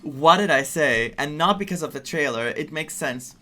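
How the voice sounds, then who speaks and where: A man's voice: a little high, expressive, moderate pace, sharp tone. A young man from Greece giving casual game playthrough commentary as a streamer, thinking aloud in a rambling way. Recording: game music behind the voice.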